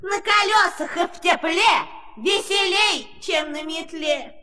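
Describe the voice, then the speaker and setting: A woman's voice: very high voice, takes it slowly, bright, forceful tone, exaggerated voice. A woman playing a scrawny, mischievous witch in a musical fairy-tale audio play. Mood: eager. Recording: echoey hall reverb.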